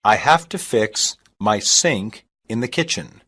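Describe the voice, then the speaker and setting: A reader saying short English practice sentences slowly and evenly, each one twice, for a language lesson. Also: mid-range voice, lively intonation, a bit bright, breathy edge. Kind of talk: slow repeated phrase reading.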